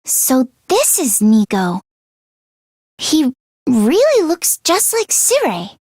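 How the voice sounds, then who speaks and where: A woman voicing an earnest, squeamish teenage schoolgirl mechanic in an anime game, expressive and quick to exclaim. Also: sits high, no echo, studio quality, full character voice. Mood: earnest, explaining.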